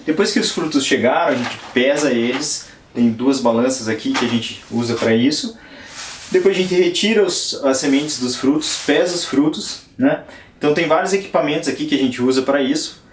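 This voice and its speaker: A Brazilian man with an average pitch talks through the work at a plant nursery, pausing often and restarting his sentences. He sounds like he is on location, with faint background noise around him. His tone stays plain and explanatory. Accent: Brazilian Portuguese. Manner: hesitant, many fillers and restarts, plain explaining tone. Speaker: nursery worker at a conservation NGO. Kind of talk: on-site interview, explaining the work. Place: plant nursery and lab on a rural property.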